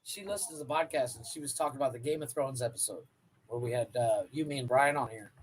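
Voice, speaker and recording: A man's voice: medium pitch, fast talker, a little hiss or hum, low-quality recording. A man co-hosting a casual comedy podcast, cracking jokes and acting out what other people said. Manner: wisecracking, acts out quotes. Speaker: podcast co-host.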